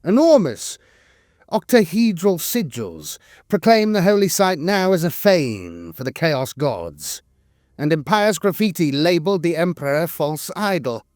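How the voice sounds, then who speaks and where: A man reading dramatic scripted war lore in a solemn, measured manner, as a channel narrator close to the microphone. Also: on the high side, very animated, a little mellow, no echo.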